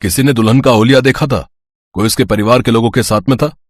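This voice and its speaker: A man's voice: medium pitch, fairly even intonation, quick pace, dry recording, lightly acted. A man voicing a serious police inspector with a probing, businesslike manner.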